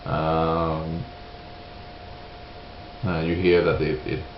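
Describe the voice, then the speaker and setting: A man from Sweden with a Swedish accent, giving an unscripted opinion monologue in halting English, hesitant and searching for words. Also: a bass voice, flat delivery. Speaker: video creator giving opinions. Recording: roomy, dull lo-fi sound with hiss.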